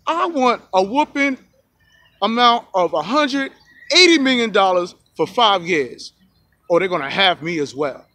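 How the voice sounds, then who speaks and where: A man in his forties vlogging outdoors into a phone held at arm's length, giving excited, preachy sports opinions and calling out "y'all" to his viewers. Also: high-pitched, a little slow, no echo. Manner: hyped, preachy, keeps saying "y'all". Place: outdoors by a rocky hill in a park. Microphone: phone selfie camera at arm's length.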